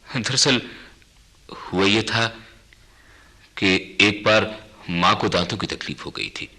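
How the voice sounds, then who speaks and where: A man playing a worried, dutiful clerk in a radio drama, earnest and respectful, with a husky voice. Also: fairly low pitch, slow, bright, forceful tone, full character voice. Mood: explaining. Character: worried, dutiful clerk son of an ailing mother. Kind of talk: Hindi radio drama acting.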